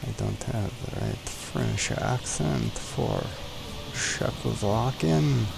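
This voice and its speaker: A man's voice: medium pitch, takes it slowly, vocal fry, music in the background, poor audio. A man hosting a flight simulator session, chatting casually, joking and talking to the aircraft as he sets it up. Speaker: flight sim streamer host. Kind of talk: casual chat while flying a simulator.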